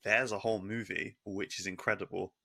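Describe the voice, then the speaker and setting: Very deep, bright-toned joking man. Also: fairly even intonation, fairly quick, creaky, breathy edge, no echo. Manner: playful, jokey banter. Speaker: podcast co-host. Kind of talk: casual chat.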